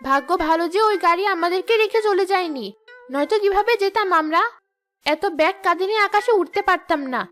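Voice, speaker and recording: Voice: very high-pitched, quick pace, a bit bright, soft background music. A performer voicing a bossy female ghost teacher in a children's cartoon, scolding and sarcastic. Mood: irritated.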